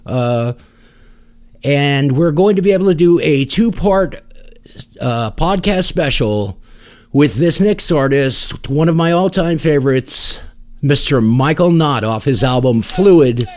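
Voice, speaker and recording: A man's voice: a little high, animated, slow pace, darkish tone. A man hosting a music podcast in a casual, rambling way with wry asides. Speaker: podcast host.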